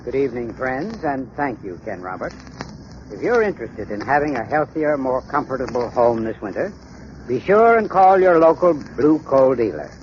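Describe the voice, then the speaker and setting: Expressive man giving old radio sponsor talk. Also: mid-range voice, moderate pace. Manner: formal, courteous, read from a script. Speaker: sponsor's heating expert. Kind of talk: 1930s radio advertising talk. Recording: dull, hissy 1930s radio recording.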